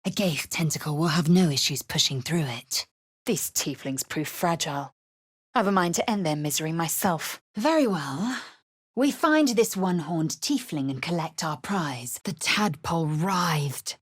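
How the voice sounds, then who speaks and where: An actress playing a fierce, imperious alien warrior woman in a video game, husky, clipped, commanding and disdainful. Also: low voice, expressive, unhurried, dry recording, studio quality, full character voice. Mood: scornful. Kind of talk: video game character voice lines.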